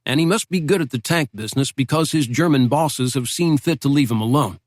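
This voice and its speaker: A man voicing a calm, modest young male American soldier with a Midwestern accent, speaking plainly and without fuss. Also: medium pitch, fairly quick, no room sound, studio quality, close to an everyday voice.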